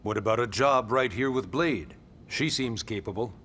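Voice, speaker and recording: An actor playing a dignified older military official in a video game cutscene, speaking formally and with measured solemnity. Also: male voice, mid-range voice, pushed and bright, a little hiss or hum, lightly acted. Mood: formal, composed. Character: dignified older male secretary of defense, grave and courteous.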